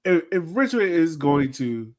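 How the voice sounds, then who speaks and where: A man co-hosting a podcast, thinking out loud in colloquial, animated soccer banter. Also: fairly high pitch, unhurried. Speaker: podcast co-host.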